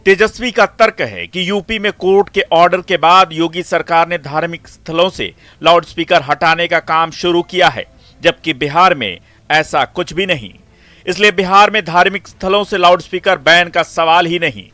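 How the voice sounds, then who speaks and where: A male news reporter delivers scripted narration in a high, bright, forceful voice. Faint music plays behind him. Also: moderate pace, no echo.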